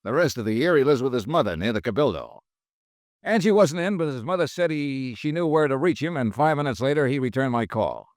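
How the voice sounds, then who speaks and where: A brisk, matter-of-fact insurance investigator, voiced by a man narrating his case in an old radio drama. His delivery moves up and down with plenty of life. The recording is dry and close. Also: medium pitch, lively intonation, dry recording, lightly acted. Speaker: narrator and lead detective. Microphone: close studio mic, dry.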